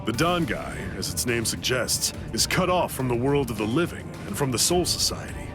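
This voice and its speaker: Male actor as anime mentor, serious, measured, commanding, mid-pitched voice, music behind. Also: lively intonation, lightly acted. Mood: grave, explaining. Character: grave, knowing Soul Reaper mentor to a young fighter. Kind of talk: English anime game voice acting.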